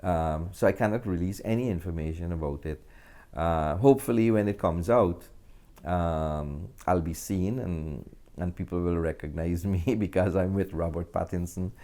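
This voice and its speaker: A man with a deep voice. He talks casually as an interview guest. His delivery is halting, with phrases often repeated. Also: mellow. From Guyana.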